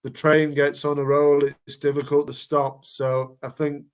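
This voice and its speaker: A man's voice: on the high side, flat delivery, measured pace, smooth. A man co-hosting a casual football podcast, rambling along with repeated words and fillers. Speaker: podcast co-host.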